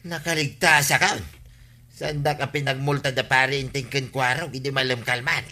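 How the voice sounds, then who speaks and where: An actor with an Ilocano accent playing a hapless, wheedling, henpecked husband who likes a drink, in a radio comedy drama. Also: male voice, mid-range voice, fairly even intonation, moderate pace, sharp tone. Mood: relieved.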